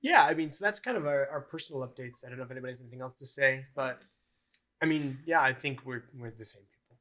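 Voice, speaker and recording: A man in his early twenties, co-hosting a podcast, chatting casually and rambling with many pauses and ums. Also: mid-range voice, lively intonation, bright, forceful tone. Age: early 20s. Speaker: podcast co-host.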